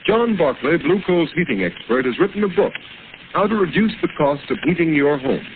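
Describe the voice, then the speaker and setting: Male announcer, emphatic and animated; hissy thin vintage broadcast. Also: on the high side, a little fast. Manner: dramatic, emphatic. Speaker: radio announcer. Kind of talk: scripted radio announcing. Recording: noisy tinny old radio recording.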